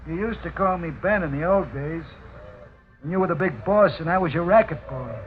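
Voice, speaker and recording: A man's voice: fairly high pitch, fairly quick, over music, close to an everyday voice. An actor playing a cynical, streetwise racket henchman with wry, slangy tough talk, in 1940s radio mystery style. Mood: wry, needling. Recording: tinny, noisy old 1940s radio recording.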